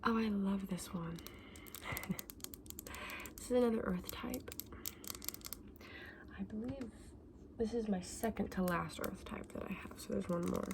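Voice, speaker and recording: A woman playing a friendly, candid dragon egg seller in a whispered, soft-spoken ASMR roleplay, explaining things with small hesitations. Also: medium pitch, measured pace, gravelly, long pauses, background noise. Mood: fond, explaining.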